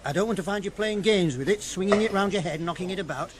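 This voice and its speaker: An actor playing a no-nonsense but decent mine deputy, giving firm instructions in a radio drama. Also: male voice, sits high, quick pace, close to an everyday voice. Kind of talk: 1980s radio drama acting. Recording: noisy vintage radio play.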